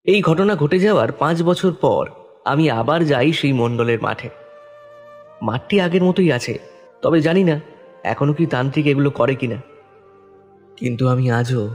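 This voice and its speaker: A man's voice: medium pitch, moderate pace, long pauses. A man narrating a scripted first-person horror story in a dramatic style. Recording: loud music under voice.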